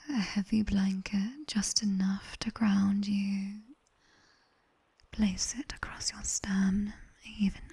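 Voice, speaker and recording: Slow, whispering British demoness tutor played by a woman. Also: medium pitch, warm tone, exaggerated voice. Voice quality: breathy whisper. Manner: slow, intimate, teasing, commanding. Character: sharp-tongued, teasing female nightmare demon tutor. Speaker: ASMR performer. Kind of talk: whispered ASMR roleplay, guided body scan. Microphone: close binaural ear microphone.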